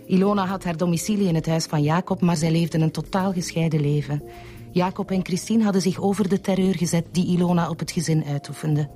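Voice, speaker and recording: An actress playing a cool, measured Flemish bookkeeper and mistress under questioning in a radio drama. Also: female voice, on the low side, steady tone, dark tone, lightly acted. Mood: cool, disclosing. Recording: music behind the voice.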